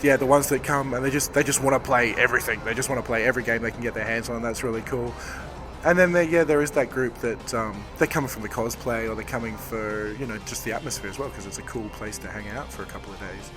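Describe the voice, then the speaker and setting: A man, a game developer, hoarse from talking all day, answering interview questions in a rambling, thoughtful way. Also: mid-range voice, fast talker, music in the background. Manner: rambling, self-correcting, thoughtful. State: hoarse, losing his voice. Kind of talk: convention floor interview. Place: busy convention floor.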